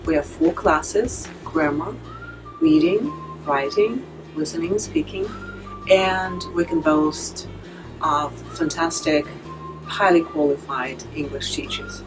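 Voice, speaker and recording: A woman, an academic director, speaking carefully about her program with frequent pauses. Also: sits low, over music, rough recording. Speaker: academic director of a language institute. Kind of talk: prepared statement.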